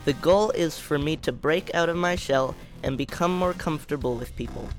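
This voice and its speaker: A man's voice: a little high, normal speed, soft background music. Shy young man hosting a podcast. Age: young adult. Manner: shy, reading from a script. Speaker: podcast host. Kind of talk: scripted interview questions.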